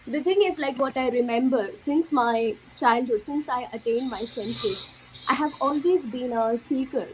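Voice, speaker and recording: A young woman from India sharing her spiritual story slowly and earnestly, with lots of fillers. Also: high-pitched, a little hiss or hum, low-quality recording. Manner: slow, earnest, many fillers. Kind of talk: personal testimony in an interview.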